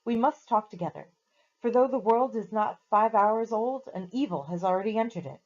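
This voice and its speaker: A woman voicing a wise, grave male lion who speaks slowly and solemnly in a storybook reading. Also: medium pitch, lively intonation, darkish tone, muffled recording, voice pushed up, close to an everyday voice. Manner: slow, solemn pronouncements.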